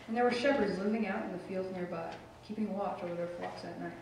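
A man's voice: sits high, creaky, far from the mic, slight background noise. Man reading scripture in an echoey church. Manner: steady measured reading. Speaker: scripture reader. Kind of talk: Bible reading in church service. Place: church sanctuary. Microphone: lectern microphone, far camera.

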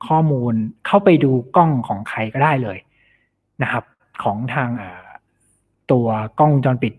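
A man giving an informal technical presentation as a cybersecurity specialist, explaining things and mixing in English technical terms. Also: mid-range voice. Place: online meetup over slides. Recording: thin phone-like online meeting audio.

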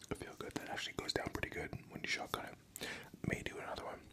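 A young adult whispering crude, rambling chat close to a studio microphone at home, a little tipsy. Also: quick pace. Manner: profane, rambling, joking. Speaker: ASMR creator. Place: home room. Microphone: studio USB microphone.

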